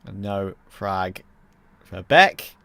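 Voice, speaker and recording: A man's voice: sits low, expressive, drawn-out words, vocal fry, bright, forceful tone, clear, resonant tone, no echo. A man in his late twenties doing play-by-play commentary on an esports match, trading easy banter with a co-caster. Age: 20s.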